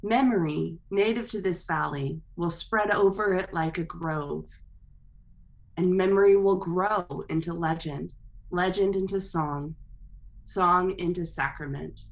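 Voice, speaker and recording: A woman recites a poem with slow, deliberate phrasing. Her voice is somewhat low and calm, and she lets each line settle before moving on. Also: creaky, poor audio. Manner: slow, measured, solemn recitation. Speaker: reader at a workshop. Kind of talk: poetry reading.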